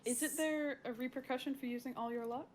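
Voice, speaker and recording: A woman's voice: high-pitched, fairly even intonation, brisk, slight background noise. A woman playing a tabletop game, chatting casually and playfully with a giggly manner.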